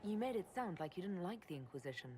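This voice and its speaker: A woman's voice: fairly low pitch, big pitch swings, fast, darkish tone, a little hiss or hum. A woman playing a reluctant leader in a fantasy game, candid, direct and earnest. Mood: frank. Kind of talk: dramatic game cutscene acting.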